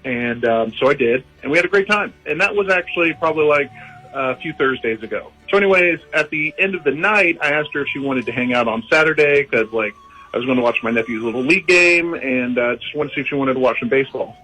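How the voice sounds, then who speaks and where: A man with an American accent calling a radio show, telling his story casually and haltingly. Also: mid-range voice, bright, forceful tone, husky, poor audio. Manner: hesitant, halting. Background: music bed.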